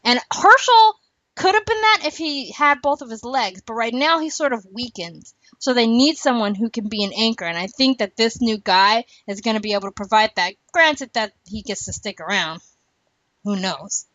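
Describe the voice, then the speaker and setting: A woman's voice: fairly high pitch, lively intonation, moderate pace, fairly bright tone, no echo. A woman hosting a podcast in a casual, rambling chat, laughing often. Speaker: podcast host. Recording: dull lo-fi dry recording.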